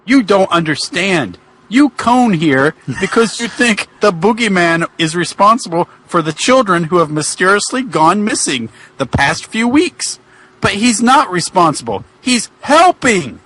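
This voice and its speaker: A man playing an earnest male wrestler pleading for an accused friend, declaiming and halting over the script in a mock-dramatic reading. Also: high-pitched, big pitch swings, bright, forceful tone, full character voice.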